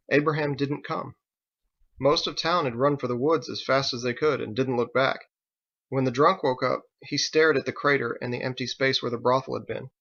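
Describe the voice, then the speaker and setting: Guy reading a dramatic novel, gravelly edge, kinda lo-fi sound. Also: medium pitch, a bit bright. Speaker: author reading own novel. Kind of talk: dramatic audiobook narration.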